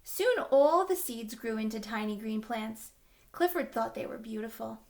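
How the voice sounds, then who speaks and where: A woman reads a picture book aloud to young children. Her voice sits fairly high and moves up and down a great deal, leaning into words for emphasis. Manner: expressive, with drawn-out emphasis for young listeners. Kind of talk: animated storybook read-aloud.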